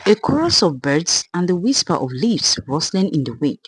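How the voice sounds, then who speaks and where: A storyteller giving a dramatic, expressive reading of a scripted folktale. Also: medium pitch, moderate pace, background noise.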